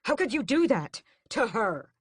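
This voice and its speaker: Brief: a woman playing a defiant young vampire prince, angry, clipped and forceful, dry close read. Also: sits low, normal speed, breathy edge, no echo. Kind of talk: audiobook character voice.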